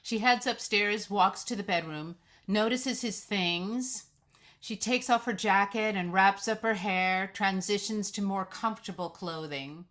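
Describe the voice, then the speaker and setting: A woman's voice: mid-range voice, a little slow, a bit bright. A woman reading screenplay stage directions as scripted narration.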